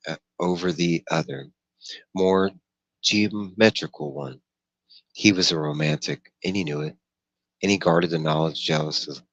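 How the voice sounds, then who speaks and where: A man reads fiction aloud as a narrator. His voice is very deep, captured dry with little room sound. The delivery is a bit halting, with occasional stumbles. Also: fairly bright tone, dry recording, highs cut off. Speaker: audiobook reader. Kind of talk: reading a novel aloud, narration.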